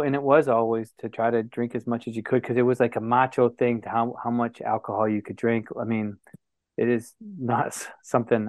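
A man from New Bedford, Massachusetts talks as an interview guest, recounting his past in a reflective, pause-filled way. His mid-pitched voice sounds dry and close to the mic. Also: darkish tone, no echo.

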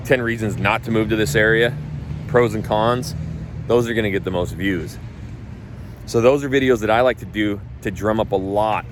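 A man with a low voice talks bluntly outdoors, and he swears often and hits his points hard. Faint traffic noise from the street runs under his speech. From North Idaho. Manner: blunt, profane and emphatic. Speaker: real estate agent and coach. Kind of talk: casual talk.

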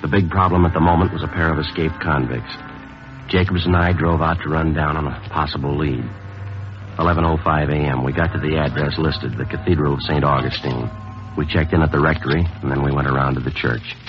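An actor playing a stoic, grieving police sergeant in an old radio drama, speaking in a terse, understated, deadpan way. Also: male voice, extremely low pitch, brisk. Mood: matter-of-fact. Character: stoic male police sergeant, grieving his longtime partner. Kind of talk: 1950s radio acting, clipped scripted narration. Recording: muffled old radio broadcast, music behind.

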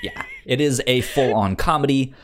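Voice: mid-range voice, wildly swooping pitch, normal speed. A podcast co-host chatting casually about films, often stammering and repeating the first sounds of words. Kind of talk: casual film discussion chat.

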